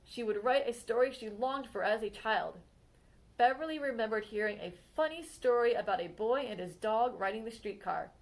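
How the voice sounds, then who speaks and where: Expressive higher-voiced woman reading aloud. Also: poor audio. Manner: expressive storytime reading, slowing on exciting moments. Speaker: children's librarian. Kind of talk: children's read-aloud of a picture book biography.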